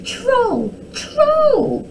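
A mischievous young storybook princess voiced by a woman, pitched high and swooping. She is bossy and brazenly sweet, with a lot of force behind her words. The recording is distant with some noise. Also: big pitch swings, unhurried, dark tone, exaggerated voice. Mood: screaming alarm. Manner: shrieking false alarms, sweetly brazen.

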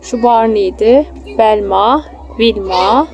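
A woman's voice: a little high, takes it slowly, darkish tone. A young woman, a mother chatting casually with her child as she films on a phone outdoors. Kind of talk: casual chat with a child while filming. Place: outdoor children's theme park. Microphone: handheld phone, behind the camera. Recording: outdoor phone recording, ambient park noise. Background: faint outdoor ambience.

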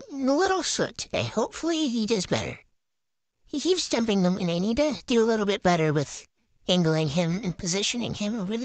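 A woman's voice: mid-range voice, no room sound. A young adult woman giving casual, chatty play-by-play gameplay commentary in short phrases, like a vlogger. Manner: chatty, narrating each move in short phrases. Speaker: gaming vlogger.

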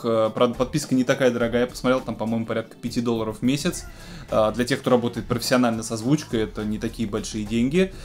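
Male host, thirties, mid-pitched, conversational explainer of music tech news, music underneath. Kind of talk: casual news presenting to camera. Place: small home music studio, indoors.

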